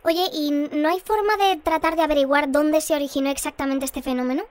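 A woman playing a composed, matter-of-fact radio host shrunk to half size, in a scripted radio drama, with a pitched-up voice. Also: fairly even intonation, a little fast. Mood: curious. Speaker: radio presenter. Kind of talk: scripted fictional radio bulletin and interview.

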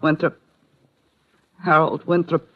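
A woman's voice: deep voice, flat delivery, slow pace, slightly rough, full character voice. An actress playing a frightened, evasive young woman who speaks hesitantly in 1950s radio drama style. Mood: subdued, evasive. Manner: hesitant, breaking off and stammering. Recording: dull, vintage radio recording.

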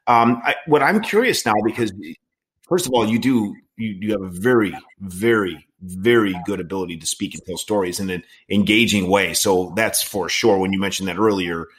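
A man's voice: on the low side, normal speed. A man in his late forties hosting a casual interview, talking in an earnest, rambling way with frequent restarts. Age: 40s. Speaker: podcast host in recovery.

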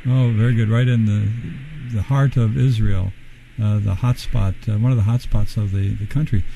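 A man's voice: fairly low pitch, steady tone, moderate pace, gravelly, warm tone, a little hiss or hum, rough recording. A male radio host interviewing a guest, talking hesitantly with many fillers.

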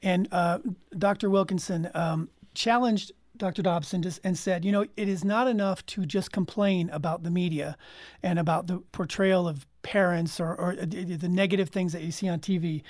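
A woman's voice: on the low side, fairly even intonation, normal speed, darkish tone, dry recording, clean studio sound. A woman talking as a guest in a casual panel discussion, explaining things conversationally. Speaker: guest from an audio drama team.

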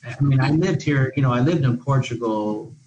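A man's voice: medium pitch, normal speed, noisy background. A man who is a guest expert on an education podcast, talking in long, discursive answers with many restarts. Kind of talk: podcast interview. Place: living in Portugal.

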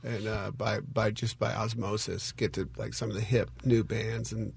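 A man talking as a guest in a casual interview, earnest and halting, about his partner's work. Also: on the low side, level tone, gravelly, breathy edge, no echo.

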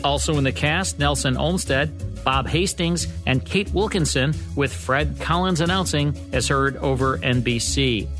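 A man's voice: a little high, a little slow. An American man hosting a radio podcast from a script in a polished, presentational way. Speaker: radio show host. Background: music.

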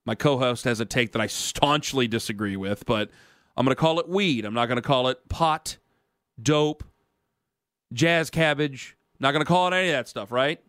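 A man's voice: mid-range voice, moderate pace, a bit bright, dry recording, studio quality. A man in his thirties hosting a radio sports talk show, rambling in casual banter with self-mocking asides. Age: 30s. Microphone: studio mic.

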